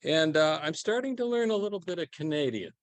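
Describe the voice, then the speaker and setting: A man's voice: a little high, expressive, fairly quick, dry recording. An older man speaking on a panel as a former minister, in a rambling, self-deprecating way. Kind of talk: panel discussion. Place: event hall.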